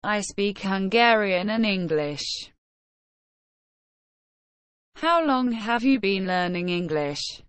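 Woman, slow careful phrase reading, dry close sound, lesson narration. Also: mid-range voice, expressive, fairly bright tone, clean tone, dry recording. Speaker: English phrase reader.

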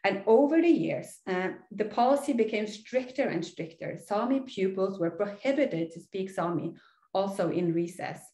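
A woman's voice: mid-range voice, animated, normal speed, warm tone. Norwegian-accented woman lecturing in an echoey room. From Norway. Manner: earnest, explanatory, frequent "uh" fillers. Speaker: university lecturer. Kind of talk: recorded online lecture over slides. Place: small indoor room.

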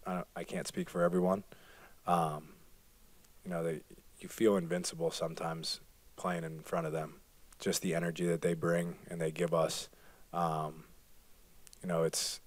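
A man in his thirties, a basketball player answering postgame questions at a press conference, soft-spoken and full of fillers. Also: low-pitched, no echo. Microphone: table microphone.

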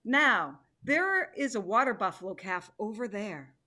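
A woman's voice: mid-range voice, big pitch swings, unhurried, sharp tone, exaggerated voice. A woman acting a proud, impatient female tiger in a theatrical play reading, imperious and emphatic. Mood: commanding.

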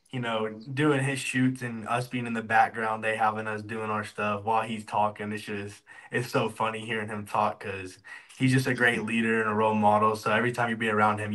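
A man's voice: fairly low pitch, fairly bright tone, poor audio. A young man from Texas, a college football player, answers interview questions over a video call in an easygoing, upbeat way with lots of fillers. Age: young adult. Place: indoor room, video call.

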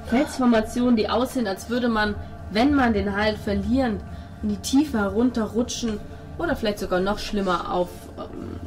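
A woman runs a tabletop role-playing game as game master, speaking at a middling pitch. Music plays clearly behind her voice. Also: poor audio. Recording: loud music under the table talk.

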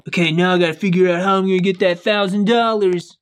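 A man's voice: high-pitched, steady tone, fast talker, clean tone, natural voice. A man acting a scheming but very polite, apologetic male robber in a game roleplay. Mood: politely menacing.

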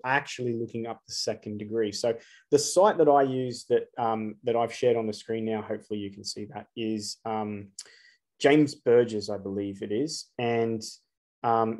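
A man's voice: medium pitch, mellow. A man, giving an earnest solo spiritual talk to camera, asking rhetorical questions with emphasis. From Australia. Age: 40s. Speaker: astrologer.

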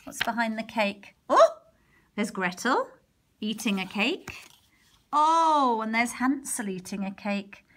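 A woman reading a picture book aloud to young children, expressive, with chatty exclamations and questions along the way. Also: fairly high pitch, slow. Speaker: primary teacher reading a storybook to children. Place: indoors, picture book held over a wooden table.

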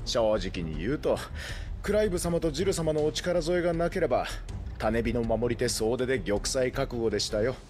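A man's voice: mid-range voice, animated, moderate pace, darkish tone, soft background music, lightly acted. A man playing a loyal resistance knight in a Japanese video game, speaking formally with deference and earnest conviction. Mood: businesslike.

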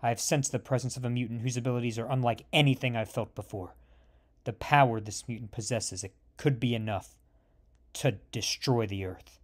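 A man voicing an old male telepathic mentor, speaking low and hushed. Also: fairly even intonation, a little fast, natural voice. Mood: grave, urgent. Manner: low hushed urgent murmur.

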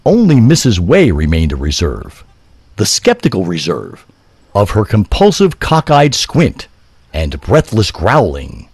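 Low, husky, theatrical male narrator. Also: huge pitch swings, measured pace, a little mellow. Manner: ornate, theatrical. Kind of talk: dramatic scripted story narration.

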